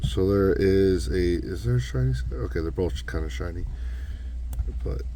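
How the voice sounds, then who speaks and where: Hoarse man with a low, mellow voice. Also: moderate pace, slight background noise. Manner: relaxed, rambling, self-correcting. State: hoarse, losing his voice. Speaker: knife modding channel host. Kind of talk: casual hands-on tutorial.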